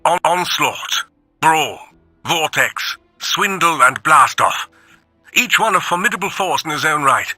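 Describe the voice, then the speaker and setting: A brisk robot officer in a video game log. He is fairly high and very bright, emphatic and instructive, with faint music behind a dry recording. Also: animated, no room sound, exaggerated voice. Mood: admiring.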